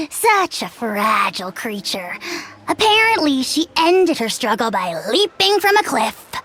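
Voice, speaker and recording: A woman's voice: high-pitched, big pitch swings, slow pace, a bit bright, a little hiss or hum, full character voice. A woman voicing a tiny, haughty non-human creature who calls itself a dragon lord, speaking grandly in the royal we and mocking others, in a video game. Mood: scornful. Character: tiny pompous self-crowned dragon lord, haughty and theatrical.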